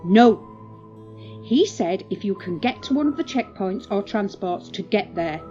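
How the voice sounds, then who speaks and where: A woman playing a distraught, insistent mother in a zombie audio drama, agitated and forceful, in a dull recording with music behind. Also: mid-range voice, expressive, a little slow, close to an everyday voice.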